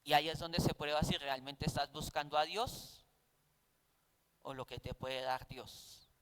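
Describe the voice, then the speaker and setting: Male Bible teacher, Colombian accent, high smooth voice, conversational preaching with rhetorical questions. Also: fairly even intonation, a little slow, fairly bright tone.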